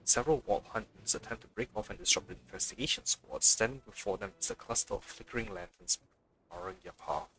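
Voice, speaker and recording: A man's voice: lowish voice, fast talker, sharp tone, low-quality recording. A man doing casual let's play commentary and reading game text aloud as a streamer.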